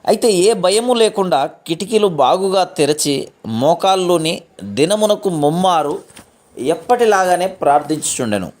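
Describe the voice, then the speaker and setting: A man with a slightly high voice reads a scripted devotional text. His delivery is formal and measured, in the manner of scripture reading.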